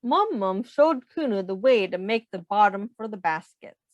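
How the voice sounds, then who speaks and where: A woman's voice: medium pitch, expressive, normal speed, dry recording, dull audio. A woman reading a picture book aloud to young children, patiently explaining and asking simple questions like a teacher.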